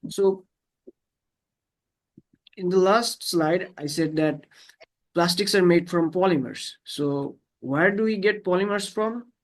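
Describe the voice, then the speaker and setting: A man from Bangladesh walks through slides on a video call. His voice sits a little high, and he speaks haltingly, filling pauses with small fillers. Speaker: presenter. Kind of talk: slide presentation.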